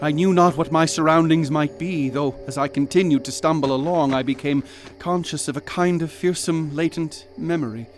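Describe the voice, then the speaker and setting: An actor playing a haunted man who narrates his own horror in slow, ornate, dread-laden words. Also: fairly high pitch, faint music behind, natural voice.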